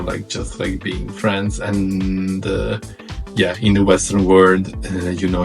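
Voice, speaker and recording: A man in his thirties from Italy with an Italian accent, co-hosting a casual travel chat, halting with many fillers. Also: deep voice, vocal fry, darkish tone. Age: 30s. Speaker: co-host.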